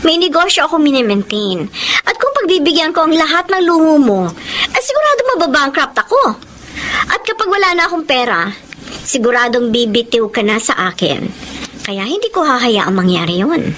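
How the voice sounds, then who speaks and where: A woman's voice: sits high, big pitch swings, normal speed, a bit bright, a little hiss or hum. An actress playing a shrewd, possessive businesswoman with a firm, controlling manner in a Filipino radio drama. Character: possessive, shrewd businesswoman financing her kept lover.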